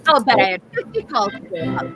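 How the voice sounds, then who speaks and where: A woman's voice: medium pitch, very animated, quick pace, music in the background, natural voice. A woman playing a cheerful, doting wife and mother in a radio play, chatty and attentive. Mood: cheerful, excited. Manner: chatty, bubbly, concerned. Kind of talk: old-fashioned radio play acting.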